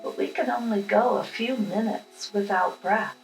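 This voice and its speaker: A woman leading a guided meditation with affirmations, speaking in a calm, unhurried, instructional manner. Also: medium pitch. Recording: roomy echo with music behind.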